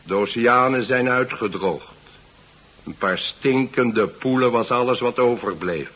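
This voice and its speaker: An actor playing a calm, condescending Martian captor in a 1970s science fiction radio drama, speaking in a measured, patronising way. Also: male voice, medium pitch. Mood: solemn narrating. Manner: measured, soothing, patronising, speech-making. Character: calm, condescending Martian captor addressing his defeated human prisoner. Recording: dull 1970s radio recording.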